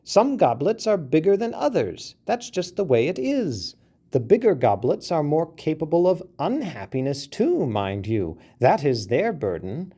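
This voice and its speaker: A man doing a high squeaky little mouse, indignant and pleading, swoops up and down, unhurried. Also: big pitch swings, dark tone, husky, full character voice. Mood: lecturing. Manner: shrill, pleading, argumentative.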